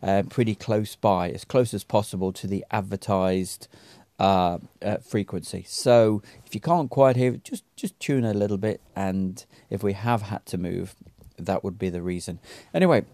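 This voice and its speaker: Guy doing a casual recap voiceover, soft dark tone, dry sound, lots of ums and stops. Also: fairly low pitch, dry recording. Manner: halting, very frequent ums and pauses. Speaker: radio net organiser and channel host. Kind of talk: casual unscripted recap narration to viewers.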